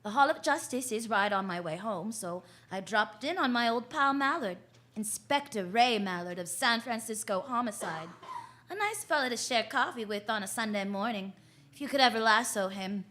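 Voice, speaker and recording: A woman's voice: on the high side, expressive, normal speed, pushed and bright. A woman playing a wry, flirtatious, self-assured private eye in the style of 1940s radio drama, full of slangy quips. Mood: wry narrating. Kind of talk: 1940s radio drama acting with first-person narration.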